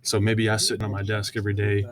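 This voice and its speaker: A man presenting software in an informal, conversational screen-share walkthrough, often hesitating with fillers as he explains each step. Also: on the low side, completely flat delivery, quick pace, crowd noise.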